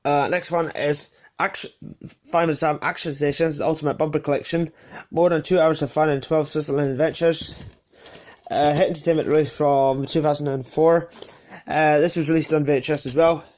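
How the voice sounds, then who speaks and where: Guy, voice a bit high, sniffly with a cold, casually showing his collection, keeps correcting himself. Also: level tone, moderate pace, darkish tone. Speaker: collector presenting a DVD collection. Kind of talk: casual unscripted show-and-tell.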